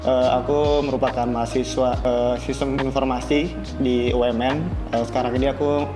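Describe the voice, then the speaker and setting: A man's voice: medium pitch, little pitch movement, normal speed. A young man, a student guest chatting casually in an interview. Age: young adult. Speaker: podcast guest, university student. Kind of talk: casual chat. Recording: music playing under the voice. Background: background music.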